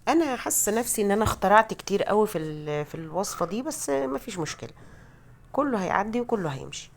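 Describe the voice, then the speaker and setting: A woman's voice: medium pitch, sing-song, fast, creaky. A woman with an Egyptian Arabic accent gives a casual, chatty, self-deprecating recipe voice-over as a home cook, her voice altered by a cold. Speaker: home cooking vlogger.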